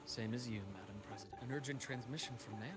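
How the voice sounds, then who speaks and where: A man's voice: mid-range voice, fast talker, natural voice. A man playing a composed, resolute doctor and fighter in a video game, speaking in a formal, clipped, controlled way. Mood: calm, businesslike. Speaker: game character voice actor. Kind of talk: scripted game voice acting. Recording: very noisy game stream capture.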